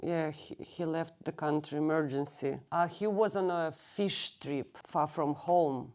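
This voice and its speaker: A woman in her thirties from Russia speaks slowly in a low, mellow voice. She is a native Russian speaker and often pauses to find the right English word. She is being interviewed in a library. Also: steady tone. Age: 30s. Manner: halting, searching for English words. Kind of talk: recorded oral history interview. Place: public library.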